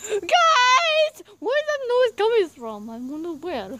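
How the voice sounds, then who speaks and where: A woman's voice: very high voice, wildly swooping pitch, slow pace, sharp tone, no echo, full character voice. A woman voicing a jumpy male plumber plush who complains and scares easily. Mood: whiny. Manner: whiny, easily spooked.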